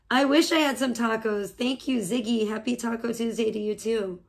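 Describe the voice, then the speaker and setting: Hoarse, rambling woman live-streamer. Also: on the high side, moderate pace, low-quality recording. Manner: rambling, swears freely, laughs often. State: slightly hoarse voice. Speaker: livestreamer. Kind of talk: casual live-stream chat with viewers.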